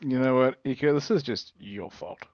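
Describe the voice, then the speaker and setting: A man's voice: mid-range voice, moderate pace, darkish tone, no echo. A man joking casually as a guest on a livestream, over a dull lo-fi voice chat.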